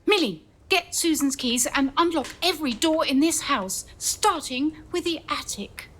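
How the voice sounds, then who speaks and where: A woman's voice: high-pitched, lively intonation, a little slow, a bit bright, a little hiss or hum. A woman playing an earnest, repentant young newcomer in an audio drama about a manor house. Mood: urgent.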